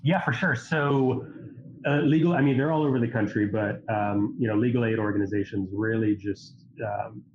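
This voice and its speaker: A man's voice: on the low side, normal speed. Stuffy-nosed man on a lo-fi line. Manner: earnest, rambling, filler-heavy. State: stuffy from a cold. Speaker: guest. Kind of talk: casual chat. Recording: dull lo-fi audio with faint hiss.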